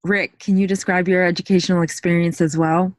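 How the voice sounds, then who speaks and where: A podcast host asking guests polite, measured interview questions. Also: mid-range voice, flat delivery, dry recording.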